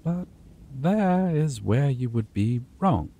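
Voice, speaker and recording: A man voicing a possessive, menacing male vampire in an ASMR roleplay, talking low and teasing and dropping to whispers. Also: big pitch swings, slow, dark tone, exaggerated voice. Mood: taunting.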